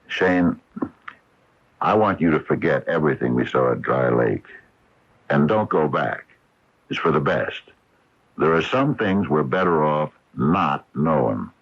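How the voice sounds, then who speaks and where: An actor playing an uneasy small-town hardware store owner who was once a scientist, speaking haltingly in an old radio drama. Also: male voice, very deep voice, breathy edge, heavily acted. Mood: grave. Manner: hesitant, halting, full of uhs. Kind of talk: 1980 radio drama acting. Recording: muffled vintage AM radio broadcast.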